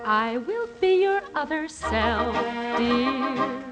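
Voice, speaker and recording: Very high operatic soprano, slow phrasing. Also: female voice, lively intonation, over loud music. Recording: vintage 1950s radio broadcast. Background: loud orchestra.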